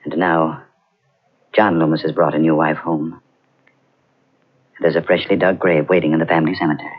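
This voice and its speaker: Brooding uncle for a 1940s radio thriller, low, husky voice; dull tape sound. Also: male voice, level tone, normal speed. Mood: ominous. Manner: grave, ominous storytelling. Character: brooding older man, uncle of the house. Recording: dull old radio transcription.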